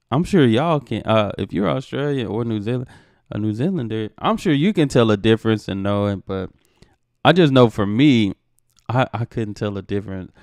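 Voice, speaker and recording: A man's voice: fairly low pitch, creaky, darkish tone, dry recording, studio quality. A man with a Texan accent, chatting casually as the host of a reaction video, rambling with plenty of fillers. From Texas, USA.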